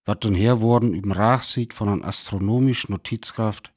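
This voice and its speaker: A man's voice: on the low side, normal speed, natural voice. A man with a North Frisian accent from Föhr acting a grave survivor narrating a radio drama, with noisy ambience behind him. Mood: grave, sombre. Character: grave male survivor recounting the end of mankind.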